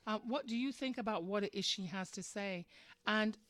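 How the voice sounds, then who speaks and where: A woman's voice: mid-range voice, moderate pace, a bit bright, no room sound. A woman hosting a live radio discussion, speaking in a conversational, opinionated way and putting questions to her listeners. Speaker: radio show host.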